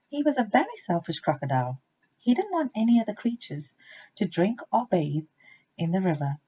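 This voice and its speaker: Female picture-book reader: animated, expressive storytelling with a breathy, rough edge, on a somewhat dull lo-fi recording. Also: on the low side. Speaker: teacher reading a storybook aloud. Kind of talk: expressive children's story narration.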